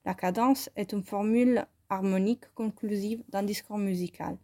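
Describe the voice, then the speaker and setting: Calm woman reading. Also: mid-range voice, normal speed. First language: Italian. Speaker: photography student presenting her work. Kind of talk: reading a scripted self-interview.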